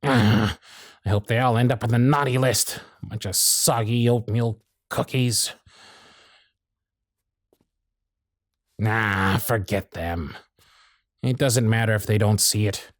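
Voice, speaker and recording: A man's voice: fairly low pitch, unhurried, no room sound, clean studio sound, lightly acted. A man playing a proud, sardonic but protective supervisor in a scripted ASMR roleplay, with theatrical, exasperated phrasing. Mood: grumbling, defiant. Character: proud, sardonic male workshop supervisor, protective of his intern. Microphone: close studio mic, dry.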